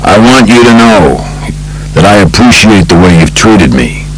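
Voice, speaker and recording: A man voicing an older mafia godfather in an audiobook, imperious and courtly, with a calm, stately delivery. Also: lowish voice, faint music behind, pitched above the performer's own voice, low-quality recording. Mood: courtly, wry. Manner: unhurried, stately, dignified.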